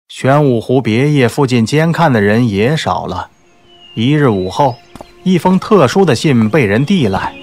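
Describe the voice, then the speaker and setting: A man narrating a novel as a scripted audiobook, in a measured, literary storytelling manner. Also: medium pitch, no echo, studio quality. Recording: soft background music under the voice.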